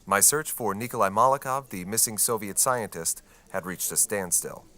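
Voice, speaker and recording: Earnest American radio journalist. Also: male voice, fairly low pitch, normal speed, sharp tone. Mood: reflective. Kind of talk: scripted radio documentary narration and interviewing.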